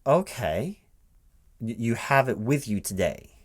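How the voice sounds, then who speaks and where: A man's voice: mid-range voice, sing-song, measured pace. A man who stammers and repeats words hesitantly, speaking aloud as a recruiting manager in a one-sided ASMR roleplay. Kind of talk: one-sided interview roleplay, soft-spoken ASMR at normal voice.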